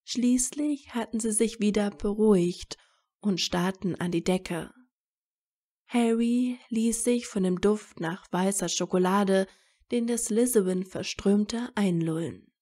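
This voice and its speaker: A woman's voice: mid-range voice, slow pace, dark tone. A woman narrating a scripted fan fiction story as a storyteller.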